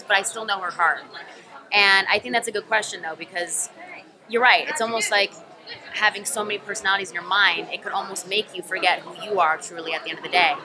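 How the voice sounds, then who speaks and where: A woman's voice: mid-range voice, poor audio. A young woman actor answering press questions in a thoughtful, rambling way with many ums. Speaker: actor being interviewed. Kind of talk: press room interview answers. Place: busy convention press room. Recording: crowd chatter behind.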